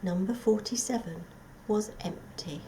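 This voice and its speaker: A woman reading a children's story aloud as a scripted narration, in an expressive, dramatic storytelling manner. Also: medium pitch, unhurried, a little hiss or hum, poor audio. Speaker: storybook reader.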